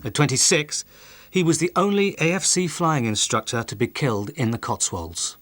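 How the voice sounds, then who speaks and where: A man delivers scripted narration for a historical documentary. His mid-pitched voice is recorded dry, with very little room around it. He presents the material as the programme's narrator. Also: sing-song, moderate pace, fairly bright tone, no room sound.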